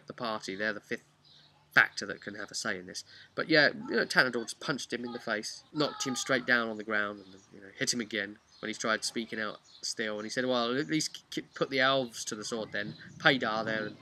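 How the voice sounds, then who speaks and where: A man's voice: mid-range voice, a little fast, bright, forceful tone, slight background noise. A man telling a casual, unscripted recap of a tabletop game as its game master, rambling and using repetition for emphasis.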